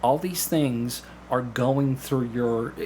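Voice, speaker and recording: Middle-aged male podcast guest, explanatory delivery with mid-sentence pauses, mid-range pitch, some room noise. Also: a little mellow. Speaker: podcast guest, actor and hypnotist. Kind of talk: conversational interview.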